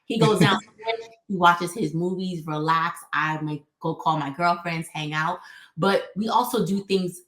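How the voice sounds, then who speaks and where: A woman's voice: lowish voice, unhurried, fairly bright tone. Chatty woman in an echoey room. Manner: open, chatty, emphatic. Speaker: guest. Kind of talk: casual podcast conversation.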